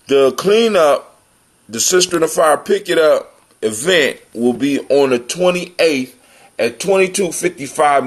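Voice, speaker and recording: An American man hosting a live community talk show, chatting casually and in a folksy way to his listeners like family. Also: a little high. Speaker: host of a live call-in show.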